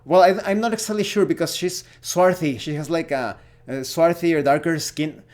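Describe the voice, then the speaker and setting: A man with a Mexican accent gives a casual opinion monologue as a gaming vlogger, speaking haltingly and searching for words. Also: fairly high pitch, darkish tone.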